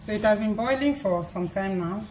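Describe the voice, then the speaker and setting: A hoarse woman hosting a cooking channel, calmly explaining each step as she cooks in her home kitchen. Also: medium pitch, normal speed. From Nigeria. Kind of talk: casual cooking narration. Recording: noisy kitchen. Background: pots clattering and food frying.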